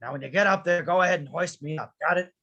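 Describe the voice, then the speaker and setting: An actor playing a grumpy, curt, impatient old widower in an animated film script read-through. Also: mid-range voice, fast, dull audio. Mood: irritated. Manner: curt, exasperated.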